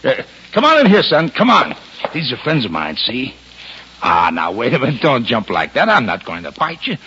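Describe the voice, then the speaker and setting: An actor playing a gruff but soft-hearted police lieutenant in a 1950s American radio drama, emphatic and exasperated, with an American accent. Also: male voice, medium pitch, huge pitch swings, normal speed, a little hiss or hum. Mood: coaxing. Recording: muffled vintage radio recording.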